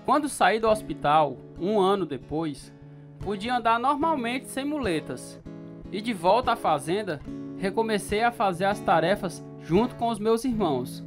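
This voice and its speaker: A man playing a grown narrator looking back on his childhood, reflective and measured in scripted radio drama narration. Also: sits high, animated. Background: plucked guitar music.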